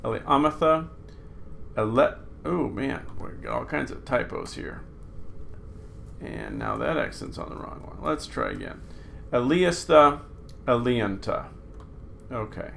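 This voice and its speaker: A man teaching Greek grammar at a slow, deliberate pace, pausing often with fillers. His voice has a gravelly, creaky edge. A faint hiss of noise sits under the recording. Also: medium pitch, lively intonation, a bit bright. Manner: unhurried, talking through slides with fillers. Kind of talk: recorded screen lesson.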